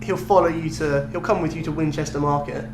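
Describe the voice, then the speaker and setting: Guy podcast host, bit high voice, amused storytelling chat, noisy hummy recording. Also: fairly even intonation, fast. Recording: clearly noisy recording with hum.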